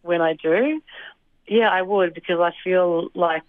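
A woman's voice: fairly low pitch, a little slow, no room sound. Young woman on a tinny phone line. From Australia. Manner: thoughtful, hesitant with fillers and soft laughs. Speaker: interview guest. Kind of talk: casual interview answers.

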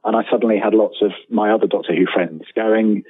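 A man's voice: on the low side, steady tone, fast, slightly rough, no echo. Man on a thin, phone-like line. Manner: hesitant, repeats phrase starts, enthusiastic. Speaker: interviewed guest, author. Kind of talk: casual interview, anecdotes.

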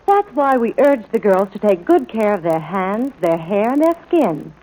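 An actress plays a brisk female factory beauty counselor in a 1940s radio ad. The delivery is scripted and practical, with lively pitch movement, on an old broadcast recording. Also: rough recording. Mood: brisk, confident.

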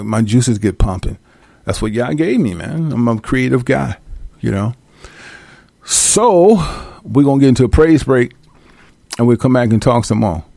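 Man, radio host and producer, gravelly voice, dry close sound, loose and playful delivery. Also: mid-range voice, a little mellow, dry recording. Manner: loose and playful, mimics drum sounds, swears, laughs often. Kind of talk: casual talk about making a song.

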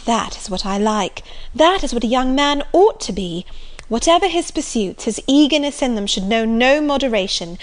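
A woman's voice: high voice, sing-song, unhurried, pitched above the performer's own voice. A woman voicing an ardent, romantic young woman who speaks eagerly and lingers on her words.

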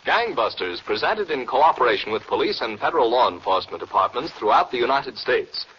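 A man's voice: medium pitch, sing-song, fairly quick, fairly bright tone, highs cut off. A man announcing a show opening in 1940s radio style on a very noisy old recording.

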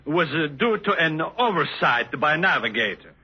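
A muffled old radio recording. an Italian driver with a very husky voice and an Italian accent, halting and formal as he makes excuses. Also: a little high, sing-song. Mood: sheepish. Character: hesitant, excuse-making Italian male race driver. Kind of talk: 1950s radio drama acting.

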